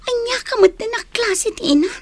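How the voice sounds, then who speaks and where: A woman's voice: very high-pitched, lively intonation, normal speed. An actress playing a woman who scolds and advises her best friend in a radio drama. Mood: chiding. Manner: chiding, advising.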